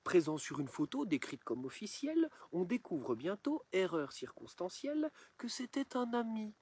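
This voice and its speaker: A man reciting satirical rhymed verse in a theatrical, measured, declamatory storytelling manner. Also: sits high, big pitch swings, a little mellow, breathy edge. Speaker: poem reciter.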